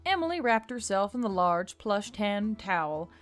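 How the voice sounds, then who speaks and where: A woman narrates a story in a scripted audiobook style, with a mid-pitched voice. Faint music plays behind her reading. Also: sing-song, moderate pace.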